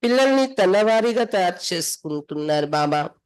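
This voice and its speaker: A woman's voice: lowish voice, expressive, moderate pace, darkish tone, clear, resonant tone. A woman from India, reading scripture aloud and explaining it phrase by phrase as a spiritual teacher. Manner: instructive, reads then translates and explains phrase by phrase.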